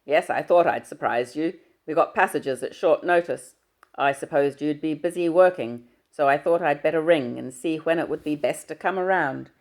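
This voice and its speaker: A jovial middle-aged father booms out his words with brisk good cheer, as a woman reading the story puts him on. The voice sits low with a gravelly edge. It sounds like a storybook dad. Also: darkish tone. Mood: hearty.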